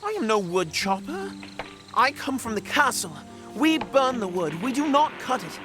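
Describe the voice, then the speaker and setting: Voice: mid-range voice, sing-song, moderate pace, music in the background, close to an everyday voice. An actor playing a proud, naive boy from a castle in a historical audio drama, indignant and sometimes hesitant.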